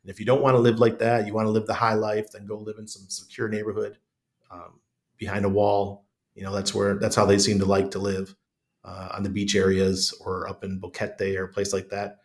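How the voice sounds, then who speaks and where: A man's voice: on the low side, completely flat delivery, brisk. A middle-aged American man with chest congestion, chatting casually and bluntly as a podcast guest. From United States. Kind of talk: casual conversational interview.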